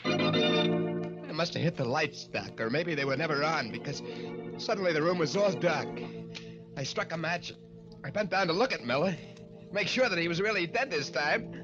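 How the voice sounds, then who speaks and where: An actor playing a nervy, evasive escaped killer with an American accent, hesitant and stammering in 1940s radio style. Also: male voice, fairly high pitch, expressive, normal speed, pauses often, close to an everyday voice. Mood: haunted. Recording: dull old radio recording with music.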